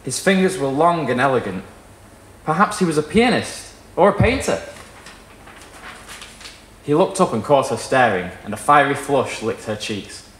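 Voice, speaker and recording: Animated young man reading a story aloud. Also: mid-range voice, normal speed, pauses often, slight background noise. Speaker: story reader. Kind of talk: expressive live reading of literary fiction. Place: small indoor performance room with wooden wall. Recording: roomy live venue sound.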